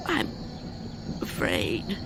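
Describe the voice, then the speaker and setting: A woman's voice: drawn-out words, music in the background. An actress in a radio drama playing a frail, fearful, reclusive old woman who speaks in a hesitant, tremulous, anxious way. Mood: nervous, guarded. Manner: hesitant, stammering, anxious muttering.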